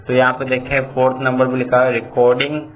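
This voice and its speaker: A man's voice: mid-range voice, monotone, a little fast. A man hosting a tutorial, explaining steps in a repetitive, instructional way. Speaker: tutorial host. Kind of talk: step-by-step phone tutorial narration. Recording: tinny phone-like audio, background noise.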